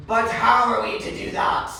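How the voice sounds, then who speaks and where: A man's voice: very high voice, completely flat delivery, normal speed, gravelly, bright, forceful tone, pitched above the performer's own voice, heavily acted. A man playing a skeptical, exasperated pirate crewmate in a stage readers theatre performance. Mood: exasperated doubt. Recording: echoey room, far mic, noisy.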